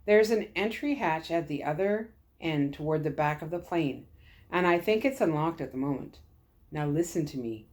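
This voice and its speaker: Woman reading as a gentle, reassuring male lieutenant. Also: sits low, animated, normal speed. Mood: hushed, serious. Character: calm, kindly young male military lieutenant, reassuring.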